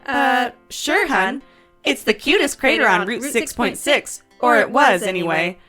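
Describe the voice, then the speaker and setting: No-nonsense female diner waitress, bright and lively, folksy, takes charge; comedy audio drama. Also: fairly high pitch, soft background music. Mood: chatty, wry. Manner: folksy, brisk, calls people hun. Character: sassy, bossy alien diner waitress, no-nonsense.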